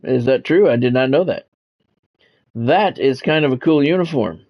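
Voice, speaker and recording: A man's voice: medium pitch, normal speed. A man in a mid-range voice talks through a sports video game like a play-by-play announcer at ease. He is conversational and often thinks aloud. The sound is a little dull and lo-fi. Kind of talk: casual live gaming commentary with sportscast touches.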